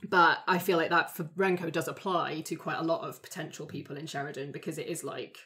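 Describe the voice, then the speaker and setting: A woman with a fairly low-pitched voice talks casually as a tabletop player. She thinks aloud as she goes, sometimes voicing how someone might react. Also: fairly even intonation, fairly quick, pushed and bright. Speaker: player on an actual-play show. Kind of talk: casual tabletop game discussion.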